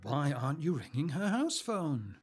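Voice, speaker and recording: An actor playing a patient, gently teasing older husband and father in a naturalistic audio drama. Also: fairly high pitch, big pitch swings, moderate pace, breathy edge, soft background music. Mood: amused, teasing. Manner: chatty, gently teasing, practical.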